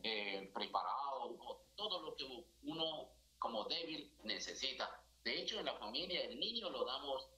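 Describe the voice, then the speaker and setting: Earnest Indian-accented priest on a call. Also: male voice, mid-range voice, expressive, bright, forceful tone, low-quality recording. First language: not Spanish. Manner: earnest, gestures a lot, halting non-native phrasing with many fillers. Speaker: priest, foundation director. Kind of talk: live TV interview by video call. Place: indoor office with a religious statue. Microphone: video call.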